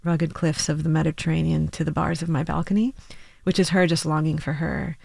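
Woman in her thirties, musician guest, slight vocal fry, dry close sound, thoughtful and hesitant. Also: deep voice, steady tone, fairly quick, a little mellow, no room sound. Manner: reflective, hedging with many restarts and "like". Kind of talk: radio interview.

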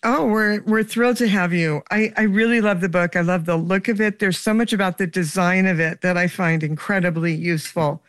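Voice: mid-range voice, steady tone, a little fast, hardly any pauses, a bit bright, no echo, clean studio sound. Enthusiastic radio food show host. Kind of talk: radio interview and scripted intro.

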